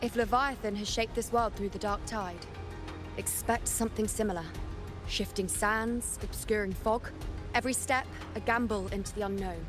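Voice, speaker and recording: An actress playing a calm, knowing mentor in a video game, speaking in a measured, deliberate, instructive way. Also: female voice, medium pitch, over music, natural voice. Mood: calm, guiding. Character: calm, knowing female mentor, composed and cryptic. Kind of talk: dramatic video game acting.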